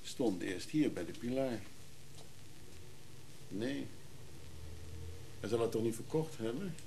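A man's voice: mid-range voice, lively intonation, slow pace, vocal fry, dark tone, a little hiss or hum, poor audio. An actor playing a chatty, wry middle-aged man who teases his brother in a naturalistic radio play. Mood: quiet, musing. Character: teasing, chatty middle-aged brother named Freek, recovering drinker.

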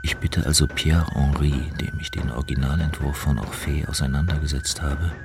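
A man playing a brooding composer who reads his work journal in a measured, deliberate, literary way for a radio play. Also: very deep voice, creaky. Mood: matter-of-fact. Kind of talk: scripted radio play narration, diary reading. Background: loud experimental music.

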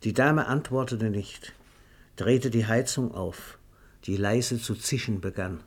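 A man narrates a radio play with measured, matter-of-fact literary delivery. His voice is lowish and husky.

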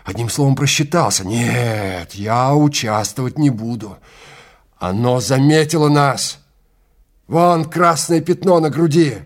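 A man's voice: medium pitch, a little slow, voice pushed up, lightly acted. Man acting out a panicked hunter losing his nerve. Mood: nervous, agitated. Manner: exclaiming, pleading. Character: panicked male hunter client, stunned and faltering. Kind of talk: audio play of a science fiction story.

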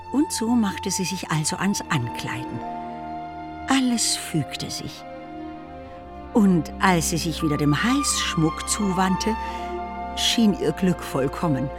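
A woman narrating a literary radio play as an expressive storyteller. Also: fairly low pitch, slow pace, over music.

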